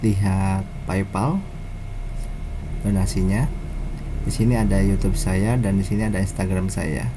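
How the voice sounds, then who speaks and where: An Indonesian man giving an informal software tutorial in a casual, halting, step-by-step way, as a developer showing his own app. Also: low-pitched, steady tone, background noise.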